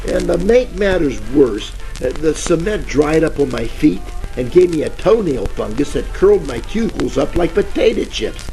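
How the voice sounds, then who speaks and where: Gravelly bumbling radio gumshoe played by a man. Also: a little high, normal speed, hardly any pauses, low-quality recording. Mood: aggrieved. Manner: rambling, hammy, slangy deadpan storytelling. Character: bumbling, boastful hard-boiled private detective and ex-cop. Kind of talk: parody of 1940s radio detective acting. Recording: old-time radio with music behind.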